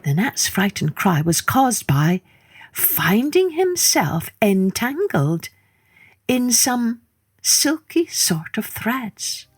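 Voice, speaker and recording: A woman telling a children's story in a theatrical way, with hushed moments and questions put to the listener. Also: a little high, sing-song, slow, slightly rough, no room sound. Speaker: storyteller, podcast host. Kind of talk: dramatic children's storytelling narration.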